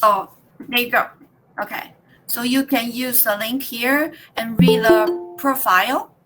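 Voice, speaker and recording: Bright-voiced Taiwanese woman teacher trainer. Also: fairly high pitch, steady tone, faint music behind, low-quality recording. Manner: halting, with many fillers and restarts. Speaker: teacher trainer leading a workshop. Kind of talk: online workshop presentation.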